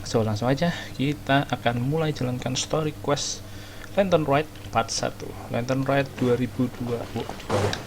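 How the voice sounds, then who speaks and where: A man's voice: medium pitch, moderate pace, background noise. An informal, rambling man doing casual gaming commentary. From Indonesia. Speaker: gaming streamer. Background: game music.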